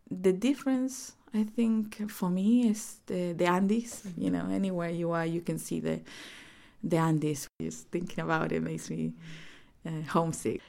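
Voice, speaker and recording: A woman in her forties from Chile, speaking English with a Chilean accent as a Spanish native speaker, recalling her life in a hesitant, halting way. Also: on the low side, fairly even intonation, vocal fry. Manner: hesitant, many fillers and restarts. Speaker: interviewee. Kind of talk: personal reminiscence.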